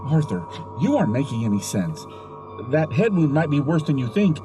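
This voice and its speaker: Music plays behind an actor's portrayal of a fussy, concerned male neighbour in a horror audio drama. His voice is slightly high and very animated. He comes across as earnest and fretful. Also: moderate pace. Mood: worried, probing.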